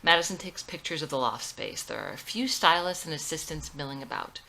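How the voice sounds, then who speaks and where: A woman's voice: low voice, expressive, a little fast, vocal fry, pushed and bright, rough recording. A woman narrating the stage directions of her own screenplay in a scripted read.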